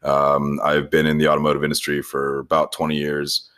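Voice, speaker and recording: Extremely deep-voiced, hesitant man. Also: completely flat delivery, a little fast, a bit bright. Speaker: podcast co-host. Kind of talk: casual introduction chat.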